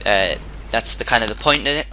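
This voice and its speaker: A man with a hoarse, sore-throated voice giving casual commentary over his own gameplay, rambling and often restarting his sentences. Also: medium pitch, fairly quick, bright, forceful tone, slight background noise, rough recording. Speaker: gaming commentator.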